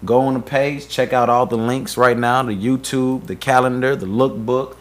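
A man's voice: mid-range voice, fairly even intonation, moderate pace. A man co-hosting a casual podcast, promoting upcoming guests and social pages with lots of fillers and restarts. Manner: hyped promo talk with many fillers and restarts. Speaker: podcast co-host.

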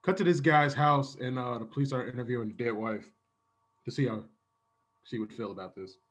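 Husky man calling improv scene changes. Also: medium pitch, fairly quick. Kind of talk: improvised comedy.

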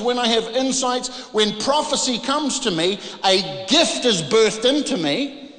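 A man from New Zealand with a New Zealand accent preaches an emphatic, informal charismatic sermon, using dramatic pauses and rhetorical questions. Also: sits high, pushed and bright. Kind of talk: charismatic sermon, part exhortation and part Bible reading. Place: large reverberant room, preaching to a congregation. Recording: echoey, reverberant large room.

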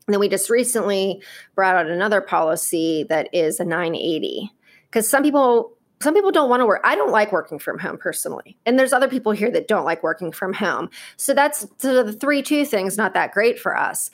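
A woman guest in a casual interview, speaking directly and candidly in quick bursts, often saying "like" and "you know". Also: mid-range voice, lively intonation. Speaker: guest, operations executive.

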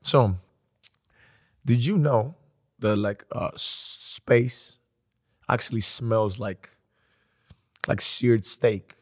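A man's voice: mid-range voice, extremely slow, pauses often. A man from Greece hosting a casual podcast interview in a playful way, often repeating himself and using fillers. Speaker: podcast host.

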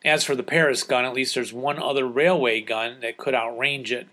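A man's voice: medium pitch, fairly even intonation, normal speed, pushed and bright. A man hosting a podcast, reading listener letters and chatting casually with an explanatory, enthusiast manner. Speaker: podcast host.

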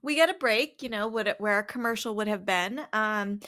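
A woman's voice: mid-range voice, normal speed, bright, forceful tone. A woman chatting casually as a podcast co-host, enthusiastic and acting out quotes as she recaps. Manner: enthusiastic, quoting characters in a dramatized way.

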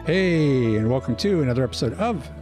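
A man's voice: mid-range voice, animated, a little fast, music in the background. A man hosting a casual podcast, joking around with his co-hosts. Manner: joking, self-deprecating. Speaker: podcast host.

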